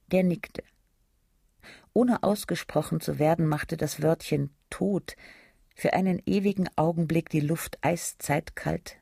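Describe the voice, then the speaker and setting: Gravelly guy narrating a radio drama, fairly high voice, very dry studio sound. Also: warm tone, no echo.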